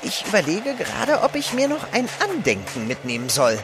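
Polite manservant with very lively delivery. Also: male voice, high-pitched, normal speed, over music, heavily acted. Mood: courteous. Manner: courteous, animated. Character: polite, loyal manservant on an adventure. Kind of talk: audio drama acting.

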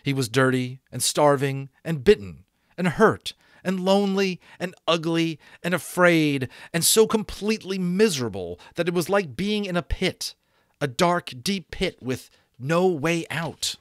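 A man in his thirties reading a novel aloud as an expressive, dramatic audiobook narrator. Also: fairly high pitch, a little slow, no echo, studio quality. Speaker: audiobook reader, voice actor. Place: small home room, indoors. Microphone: close desk microphone.

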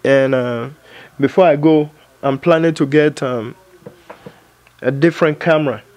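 A man's voice: a little high, a little slow, a little mellow, highs cut off. A man in his thirties talking casually to camera in his home editing studio, thinking aloud with plenty of fillers. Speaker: vlogger and filmmaker. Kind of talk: casual vlog talk.

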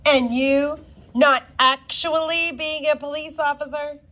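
Woman voicing a cheeky, high-pitched schoolgirl. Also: fairly even intonation, slow pace, bright, forceful tone, full character voice. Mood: smug teasing. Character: cheeky, self-assured schoolgirl with sly wit.

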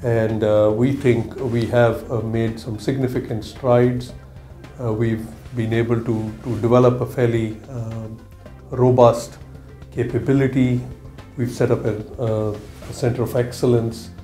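A man in his fifties gives interview answers in a soft, mellow tone. He speaks slowly and haltingly, choosing words with care. The office gives a noticeable room echo, and music plays underneath. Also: medium pitch, flat delivery. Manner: halting, careful phrasing. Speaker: bank technology executive. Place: small office, indoors.

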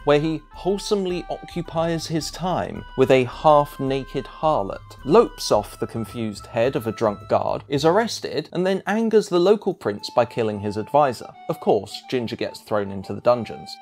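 Male essay narrator, creaky edge, wry and emphatic scripted read over faint music. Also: medium pitch, sing-song, normal speed, few pauses, darkish tone.

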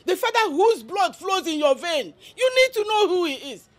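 A woman's voice: very high voice, very animated, normal speed. A woman playing a mother who earnestly pleads with her grown son, emotional as she speaks.

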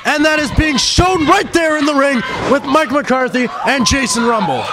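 A man doing energetic color commentary at a live wrestling show, loud and losing his voice. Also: extremely high pitch, normal speed. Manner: energetic, loud, joking asides. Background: loud crowd and room noise.

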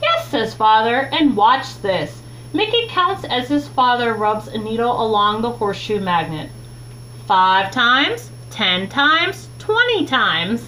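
A young adult woman reads a children's book aloud with expressive, deliberate phrasing, recorded in a small room at home on a webcam mic. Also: a little high. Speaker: teacher reading a children's book. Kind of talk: read-aloud storytime narration. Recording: clearly noisy, humming home recording.

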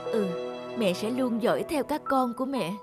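A woman's voice: on the high side, animated, moderate pace, over music, lightly acted. A woman playing a selfless, worn-out peasant mother in a Vietnamese cartoon fairy tale, pleading and tender.

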